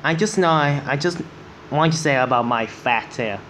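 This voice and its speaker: Casting: teenage male reaction host, halting delivery with repeats, slightly noisy room. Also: a little high, fairly bright tone. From Vietnam. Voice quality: non-native, halting English. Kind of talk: casual reacting commentary.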